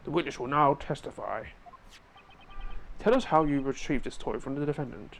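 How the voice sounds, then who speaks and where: A man's voice: medium pitch, normal speed, gravelly, fairly bright tone, slight background noise. A man fan-dubbing a game, switching between acted lines and confused asides. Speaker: fan voice actor and player. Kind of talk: fan dub with live commentary. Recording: faint game music behind. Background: game music.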